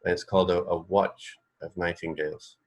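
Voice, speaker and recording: A man's voice: low-pitched, level tone, normal speed. A man co-hosting a radio show in casual, unscripted chat, tentative and thinking aloud. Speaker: radio co-host.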